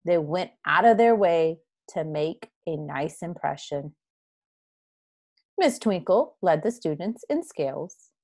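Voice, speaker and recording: A woman reading a picture book aloud for children as a school counselor, expressive and clearly phrased. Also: low-pitched, slow. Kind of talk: children's storybook read-aloud.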